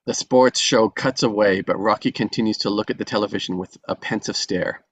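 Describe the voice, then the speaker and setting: A man's voice: lowish voice, brisk, fairly bright tone, slight background noise. A man reading stage directions as scripted narration at a table read.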